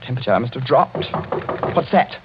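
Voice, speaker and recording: An actor playing a brave but edgy young lord in a radio mystery, speaking in a clipped, defiant way. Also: male voice, medium pitch, lively intonation, a little fast, natural voice. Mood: nervous bravado. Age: young adult. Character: brave, brusque young English lord on edge. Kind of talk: 1940s radio mystery acting. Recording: muffled, noisy 1940s radio recording.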